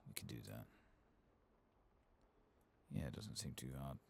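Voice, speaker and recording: A man with a gravelly voice, speaking softly and sometimes whispering in an ASMR game playthrough commentary. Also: unhurried. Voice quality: gravelly, very deep. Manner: soft-spoken, sometimes whispering. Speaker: ASMR gaming streamer.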